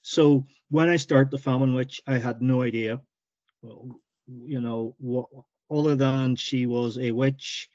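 A man talks at a middle pitch in a relaxed, plain-spoken way, now and then stumbling and repeating a word. The call audio is a little dull and muffled, and the sound is dry and close. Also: a little slow, no echo. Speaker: author being interviewed. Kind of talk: casual interview chat.

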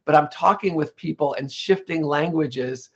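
Man, interview guest, gravelly, husky, thoughtful restarts, muffled lo-fi audio. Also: fairly high pitch, moderate pace. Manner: thoughtful, frequent restarts and self-corrections. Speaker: interview guest, legal English lecturer. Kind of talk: relaxed conversational interview.

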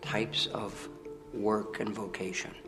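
Man, documentary interview, very low voice, gravelly, loud music underneath. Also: flat delivery, slow. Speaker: interviewee in a ministry video. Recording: video with loud music bed. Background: loud background music.

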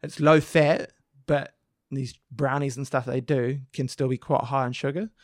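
A man co-hosting a podcast with a croaky voice, explaining things at length in a casual chat with frequent restarts. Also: mid-range voice, fairly even intonation, normal speed, no echo, clean studio sound. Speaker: podcast co-host. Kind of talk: casual discussion.

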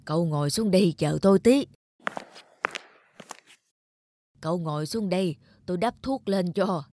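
A woman voicing a kindly, grateful old village grandmother in an animated folk tale, speaking in a homely, insistent, unhurried way. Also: on the low side, a little hiss or hum, natural voice. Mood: caring.

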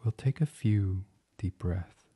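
Guy doing a sleep meditation, really deep mellow voice, soft n husky, kinda hushed. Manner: soft, soothing, unhurried, breathy hushed delivery. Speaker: podcast host, guided meditation narrator. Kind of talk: guided meditation, gentle bedtime reading.

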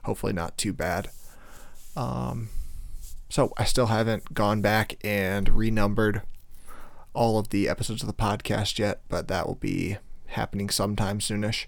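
Gravelly, slightly hoarse male podcast host. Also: lowish voice, steady tone, moderate pace, dry recording. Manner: rambling, many fillers and restarts. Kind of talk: casual solo podcast intro.

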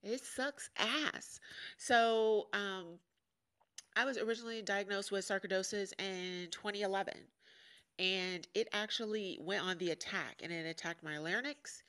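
A woman in her forties from Chicago hosting a podcast, talking frankly and jokingly in a deep, nasal voice. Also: steady tone, measured pace, a bit bright, clean tone. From Chicago, USA. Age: 40s. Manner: frank and joking, laughs often. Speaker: podcast host. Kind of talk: casual candid monologue.

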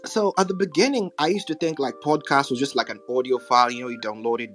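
A man's voice: medium pitch, fast talker, a bit bright. A man speaking as a panelist in loose, casual conversation. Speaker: podcast host, panelist. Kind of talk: casual chat. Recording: live audio-app chat, faint music.

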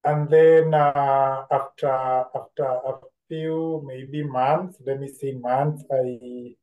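A man with a Kenyan accent telling his story as an interview guest, deliberate and reflective, often repeating phrases. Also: medium pitch, fairly even intonation, narrow, tinny audio. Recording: roomy, echoing sound.

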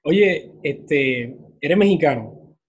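Young male interviewer, husky and a bit high-pitched, effusive, conversational. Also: expressive, very slow. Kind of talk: casual chat interview.

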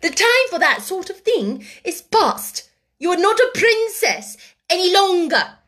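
A woman voicing a haughty, domineering schoolmistress in an audiobook, sharp-tempered, scolding and imperious. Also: very high-pitched, very animated, unhurried, voice pushed up, full character voice. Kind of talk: audiobook character acting.

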